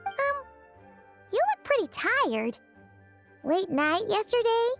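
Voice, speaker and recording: Tiny cheeky game fairy: squeaky, sky-high, wildly animated, perky, light music behind. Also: female voice, slow, exaggerated voice. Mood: curious. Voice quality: squeaky, childlike. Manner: perky, exclamatory, blunt. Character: tiny cheeky childlike floating fairy companion. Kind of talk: anime game voice acting.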